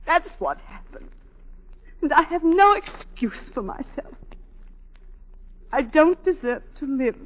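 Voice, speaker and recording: A woman's voice: high-pitched, very animated, slow pace, long pauses, heavily acted, low-quality recording. A woman playing a refined, guilt-ridden wife who has killed her lover, in intense 1940s radio drama style. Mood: anguished confession. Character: tormented unfaithful wife confessing murder, refined and guilt-ridden. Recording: band-limited 1940s radio recording with music.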